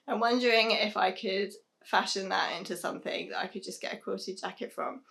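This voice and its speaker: A young British woman talking casually to camera about sewing, a little hoarse from losing her voice, chatty with frequent ums and soft laughs. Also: medium pitch. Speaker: sewing vlogger. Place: small home sewing room, indoors.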